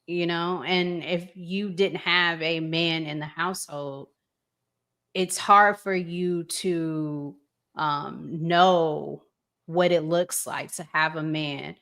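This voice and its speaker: A woman hosting a casual relationship podcast, reflective and pausing to find her words. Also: low voice, steady tone, fairly bright tone. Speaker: podcast host.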